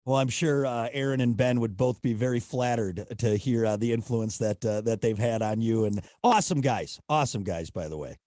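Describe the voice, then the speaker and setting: A man's voice: medium pitch, moderate pace, few pauses, warm tone, no echo, clean studio sound. A man hosting an interview, chatty and repeating phrases as he asks questions. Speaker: show host, interviewer. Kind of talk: casual interview chat.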